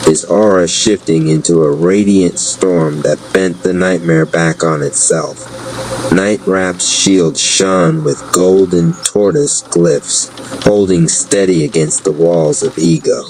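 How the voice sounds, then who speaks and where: Low slow guy narrating a dark fantasy spoken word piece, noisy rumble behind him.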